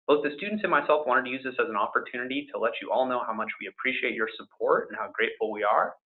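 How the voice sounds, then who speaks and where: A man moderates in a voice of average pitch. He is polite and prepared, introducing things and putting questions to panelists in an orderly way. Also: expressive, quick pace, narrow, tinny audio.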